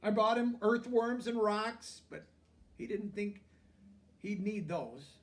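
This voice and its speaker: A man with a high-pitched voice reads a picture book aloud to children. His narration is expressive and plainly explained. He sounds set back from the microphone. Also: takes it slowly, distant mic. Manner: explains asides simply to young listeners. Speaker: storybook reader.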